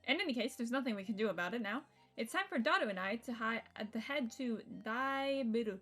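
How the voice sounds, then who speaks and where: A woman's voice: on the high side, animated, fairly quick, fairly bright tone. A woman streaming a visual novel, reading it aloud expressively and breaking off into casual, reactive asides. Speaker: game streamer. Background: game music.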